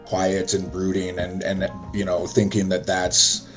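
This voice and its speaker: Gentle man with a very low voice. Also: completely flat delivery, a little slow, soft background music. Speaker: guest. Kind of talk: casual conversation.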